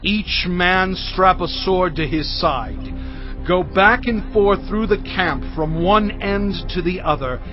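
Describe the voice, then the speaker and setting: An actor playing Moses, an impassioned prophet who pleads and gives emphatic commands in a dramatized scripture reading. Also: male voice, high-pitched, lively intonation, slow, over music. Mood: commanding. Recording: dull lo-fi audio with loud background score.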